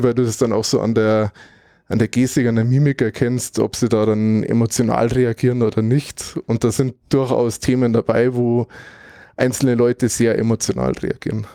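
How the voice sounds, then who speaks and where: A man's voice: mid-range voice, little pitch movement, normal speed, mellow, no echo, clean studio sound. A man from Germany chatting casually as a podcast co-host, thinking aloud and often searching for words as he goes. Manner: thoughtful, rambling, searches for words mid-sentence. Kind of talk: casual conversational recap.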